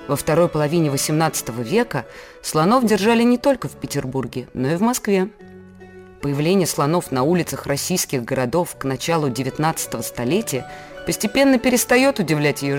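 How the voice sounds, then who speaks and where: Casting: patient explaining radio storyteller, mid-pitched, faint music bed behind. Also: big pitch swings, a little fast. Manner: patient, explaining, asks teaching questions. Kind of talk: scripted educational narration.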